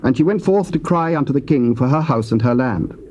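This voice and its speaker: Solemn male narrator with a mellow voice. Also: mid-range voice, expressive, muffled recording, slight background noise. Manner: measured, solemn reading. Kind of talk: scripted scripture narration.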